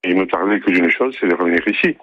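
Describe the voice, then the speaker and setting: Low-voiced middle-aged male caller, hesitant. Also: rapid-fire, slight background noise. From southern France. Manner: rambling, hesitant with many fillers. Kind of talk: phone-in chat. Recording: muffled phone-line audio.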